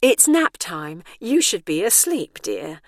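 A woman's voice: on the low side, big pitch swings, takes it slowly, dry recording, clean studio sound, heavily acted. A woman voicing a patronising, soothing care-home attendant in an audiobook story.

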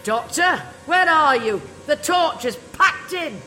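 A woman's voice: high-pitched, animated, takes it slowly, pushed and bright, soft background music, pitched above the performer's own voice, heavily acted. A woman voicing a plain-spoken male farmer with a blunt, incredulous manner. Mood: puzzled.

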